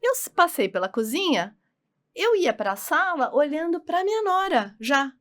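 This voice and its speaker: A woman's voice: high voice, big pitch swings, unhurried, fairly bright tone. A middle-aged woman with a Brazilian accent, talking as a guest in an emphatic, conversational, explanatory way. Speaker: podcast guest, consultant.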